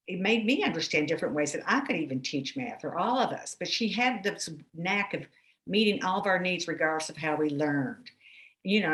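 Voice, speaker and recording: A woman gives voice to a panelist's signed answers, speaking at a measured, steady pace. Her voice sits a little low for a woman. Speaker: sign language interpreter voicing a panelist.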